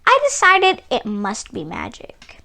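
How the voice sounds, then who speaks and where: Female children's book reader, bright and lively, theatrical storytelling with emphatic key words. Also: fairly high pitch, a little slow, gravelly. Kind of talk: expressive read-aloud storytelling.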